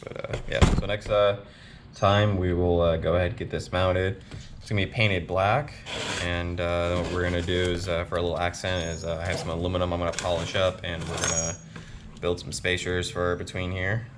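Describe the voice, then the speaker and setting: Casting: man, very deep voice, laid-back DIY builder, noisy shop recording. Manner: relaxed, many "uh" pauses. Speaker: motorcycle builder. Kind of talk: casual DIY build walkthrough. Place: home workshop.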